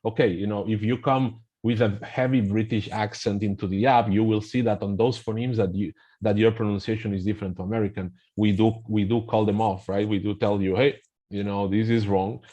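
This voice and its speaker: A man's voice: lowish voice, fairly even intonation, brisk, dark tone, muffled recording. A middle-aged man with a Spanish accent, explaining things in a hesitant conversational way, full of fillers and restarts. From Spain. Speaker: language app representative, guest.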